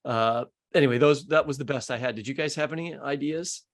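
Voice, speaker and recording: A man hosting a podcast, chatting casually about books in a halting way as he thinks aloud. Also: medium pitch. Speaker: podcast host. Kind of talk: casual literary discussion.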